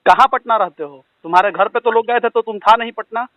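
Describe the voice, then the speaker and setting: A man's voice: extremely high pitch, fairly quick, fairly bright tone, no echo. A man with a Bihari accent confronts someone over the phone, scolding, accusing and interrupting with pointed questions. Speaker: caller confronting the other party. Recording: tinny, lo-fi recorded phone call.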